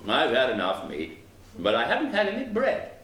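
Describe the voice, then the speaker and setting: A man's voice: medium pitch, wildly swooping pitch, moderate pace, fairly bright tone, pitched below the performer's own voice. A man voicing an easygoing, simple male dog, slightly plaintive, in a storybook tale. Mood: plaintive, content. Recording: roomy, distant mic, faint noise.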